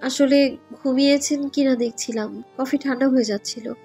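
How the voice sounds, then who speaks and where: A woman's voice: high voice, steady tone, moderate pace, warm tone. A woman with a Bangladeshi accent playing a shy, polite, hesitant young student in a romantic audio story. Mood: embarrassed. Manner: meek, apologetic, hesitant. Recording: music bed behind the voice.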